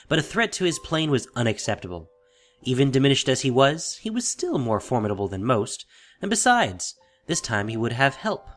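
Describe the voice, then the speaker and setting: A man narrating a fantasy audio drama in a deliberate, theatrical storytelling style. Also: mid-range voice, a bit bright. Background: music.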